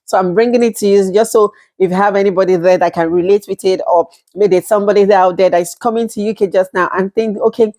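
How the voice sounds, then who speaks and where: Nigerian woman, 30s, casual vlog host, talkative with easy laughs, mid-pitched voice. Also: steady tone, fast, hardly any pauses, mellow. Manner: chatty, laughs often, acts out quoted exchanges. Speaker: vlogger. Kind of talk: casual talk to camera.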